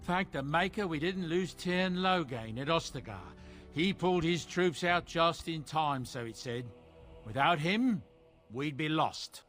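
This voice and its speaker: A man's voice: a little high, lively intonation, measured pace, a bit bright, faint music behind. A man voicing a genial middle-aged dwarf merchant in a fantasy game, with an obsequious salesman's courtesy. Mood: confiding, gossipy.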